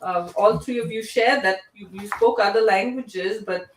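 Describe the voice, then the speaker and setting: A woman hosting a casual live online group discussion, speaking in a halting, conversational way and often restarting her phrases. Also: medium pitch, a little hiss or hum. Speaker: host. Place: indoor room on live online video call. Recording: echoey room, distant microphone.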